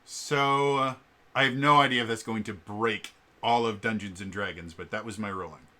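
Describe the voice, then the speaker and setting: A man's voice: fairly low pitch, steady tone, normal speed, bright, forceful tone. A man talks casually as a vlogger and game master, explaining things in a digressive, storytelling way. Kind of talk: casual rambling storytelling vlog.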